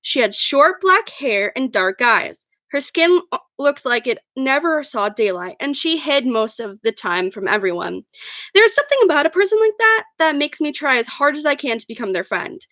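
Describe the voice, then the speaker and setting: High, bright-voiced woman reading a story. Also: animated, moderate pace, dry recording. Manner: stumbles over words while reading. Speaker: author reading own fan fiction. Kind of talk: first-person story narration, amateur read-aloud.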